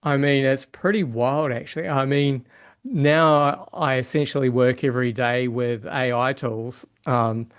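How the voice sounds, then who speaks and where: Halting New Zealand man in casual chat. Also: mid-range voice, steady tone, clean tone. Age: middle-aged. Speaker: podcast guest, software developer and yoga teacher.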